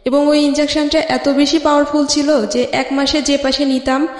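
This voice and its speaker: An interview guest from Bangladesh telling a personal life story in a hesitant, halting way with frequent pauses. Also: high-pitched, flat delivery. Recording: echoey hall with long reverb.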